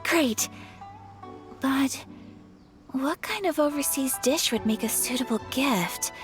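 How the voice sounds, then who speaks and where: An actress playing a gentle, polite young noblewoman in an anime-style video game, courteous and a little hesitant. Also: sits high, takes it slowly. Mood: polite, earnest. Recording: faint game music behind.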